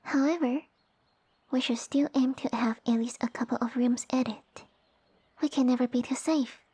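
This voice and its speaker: A woman voicing a small, sassy female lamia who rules her village, speaking softly and breathily with formal, imperious phrasing in an ASMR roleplay. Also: sits high, fairly even intonation, normal speed, no room sound. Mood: stately, concerned. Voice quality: soft, breathy, whispery. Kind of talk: ASMR roleplay audio addressed to the listener.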